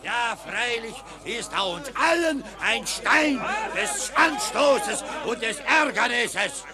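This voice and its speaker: An actor playing a fiery Capuchin friar who thunders rhymed sermons at a crowd of soldiers in a radio play. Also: male voice, very high-pitched, animated, takes it slowly, heavily acted. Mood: thundering. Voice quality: rasping, thundering rhymed preaching. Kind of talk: stage verse radio play.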